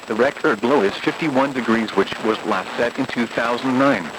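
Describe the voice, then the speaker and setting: A synthetic-sounding man reading a scripted automated weather bulletin in a flat, methodical way over a noisy radio broadcast. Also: mid-range voice, normal speed.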